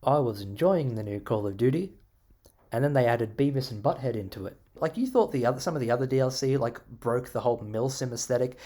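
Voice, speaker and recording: Animated Australian man ranting casually. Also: mid-range voice. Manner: animated, expressive, sarcastic and profane. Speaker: YouTuber answering viewer comments. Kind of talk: casual comment-reaction commentary.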